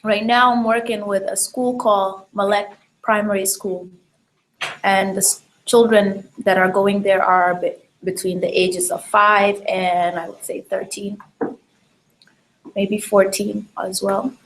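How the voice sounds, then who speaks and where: A woman from South Sudan talks slowly and deliberately in a campaign address, her pitch sitting in an ordinary middle range. She pauses often with soft fillers while she builds each point. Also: level tone, rough recording. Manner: slow, measured, with frequent ums. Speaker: model and education advocate. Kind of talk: direct-to-camera campaign talk.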